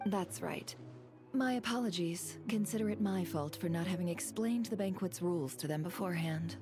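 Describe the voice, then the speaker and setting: A woman's voice: lowish voice, normal speed, close to an everyday voice. A woman playing a poised, worldly society hostess in a video game, speaking in a formal, polished way. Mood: smooth apology. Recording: music behind.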